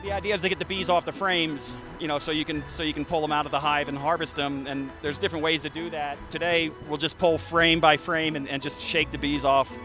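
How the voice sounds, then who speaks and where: A man's voice: a little high, fairly quick, hardly any pauses, over music. A man in his thirties, a beekeeper, talking casually outdoors into a handheld mic, with plenty of restarts and fillers. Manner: relaxed, frequent restarts and fillers. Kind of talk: casual explanation to an interviewer. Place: outdoors by beehives in a garden. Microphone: handheld interview mic.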